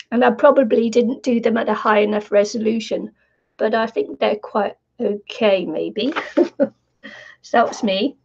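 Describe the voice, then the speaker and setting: Older woman craft host, croaky and gravelly, rambling and friendly, lo-fi live stream sound. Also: fairly high pitch, darkish tone. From New Zealand. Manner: chatty, laughs often, many fillers. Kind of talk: casual live stream chat. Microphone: computer mic on live stream. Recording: dull lo-fi stream audio.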